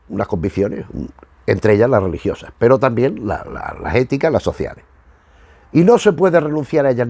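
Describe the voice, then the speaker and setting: Gravelly middle-aged man from Spain, rambling. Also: mid-range voice, darkish tone, dry recording. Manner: digressive, hesitant, often repeats and rephrases. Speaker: host of a retro television review. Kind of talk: casual, rambling commentary.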